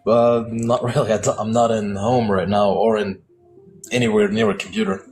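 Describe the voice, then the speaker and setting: A man's voice: fairly low pitch, steady tone, normal speed, a little mellow, faint music behind. A man in a live debate, pressing with probing, insistent questions. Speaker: debate participant.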